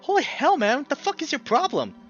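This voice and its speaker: Guy putting on an excitable young furry dude, high and loud, swears a lot, bit lo-fi. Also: a little fast, faint music behind, exaggerated voice. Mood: alarmed outrage.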